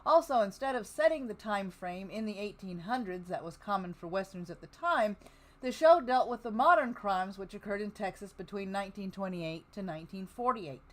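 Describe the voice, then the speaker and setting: A woman with a middle-pitched voice hosts in a casual way. She moves between loose chat and plainly read show notes. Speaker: host of an old-time radio listening show. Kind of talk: casual hosting, reading show notes aloud.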